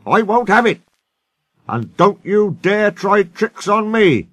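A man's voice: high voice, sing-song, slow, dry recording, pitched above the performer's own voice, exaggerated voice. A man voicing a brave, stern old steam engine in a children's story, with firm, emphatic speech. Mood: stern, scolding. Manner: firm, emphatic scolding and pleading.

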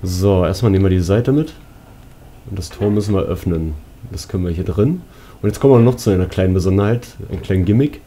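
A man's voice: sits low, fast talker, mellow, husky. A man giving casual let's play commentary as he guides viewers through a video game. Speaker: gaming YouTuber, let's player.

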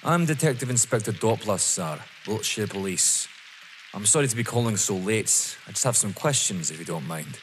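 An actor playing a polite, persistent police detective in an audio drama, deferential yet probing. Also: male voice, fairly low pitch, expressive, moderate pace, a little hiss or hum, lightly acted. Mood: courteous. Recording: reverberant hall sound.

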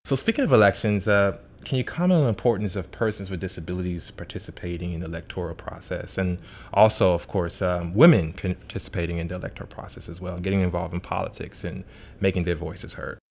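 A man hosts the interview in a low, deep voice. He reads his prepared questions formally and evenly. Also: quick pace, vocal fry. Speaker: interview host.

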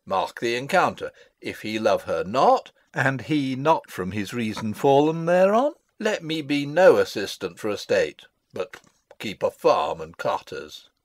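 A man's voice: mid-range voice, sing-song, measured pace, no room sound. Guy acting a pompous old royal advisor, meddling, voice swoops wildly, very dry sound, shakespeare play. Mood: scheming. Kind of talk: Shakespearean dramatic reading.

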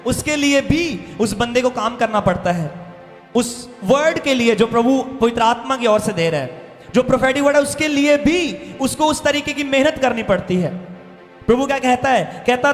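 A man preaching an impassioned, emphatic sermon into a handheld microphone, repeating phrases for weight. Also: very high-pitched. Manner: emphatic, repeats phrases, slows for quoted verses. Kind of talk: impassioned sermon mixing Hindi and English. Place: church hall stage. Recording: reverberant hall PA with music behind. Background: music.

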